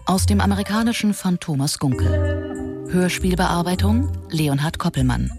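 A woman's voice: fairly low pitch, animated, moderate pace. A woman reading formal scripted credits as the announcer of a radio play. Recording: studio voice over music.

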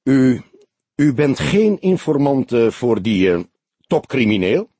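A man's voice: mid-range voice, big pitch swings, slow, warm tone, husky, dry recording, full character voice. A man voicing an old male police detective in an audiobook, sardonic and probing, with a curt, mocking manner. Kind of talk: audiobook character dialogue.

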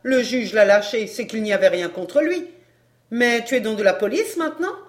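A woman's voice: fairly high pitch, expressive, moderate pace, natural voice. A woman reading a story, voicing a brazen fairground woman who is scornful, cheeky and slangy. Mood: mocking. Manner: taunting, slangy, derisive.

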